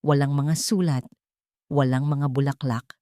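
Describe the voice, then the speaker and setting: A woman with a Filipino accent narrating a scripted drama story in measured, emphatic phrases. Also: extremely low pitch, fairly even intonation, dark tone, dry recording, clean studio sound.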